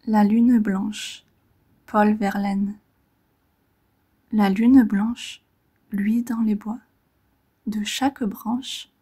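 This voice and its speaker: A woman reading poetry in a gentle, measured, relaxing manner, with a soft breathy voice that often fades toward a whisper and short phrases set apart by pauses. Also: mid-range voice. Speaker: poetry reader.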